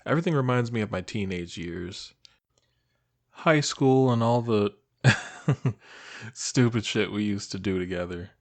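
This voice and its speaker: A man acting as a shy, nostalgic old high school best friend, talking casually and hesitantly to the listener in an intimate audio roleplay. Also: measured pace, long pauses. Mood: fond, amused. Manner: hesitant, casual, soft-hearted, trailing off.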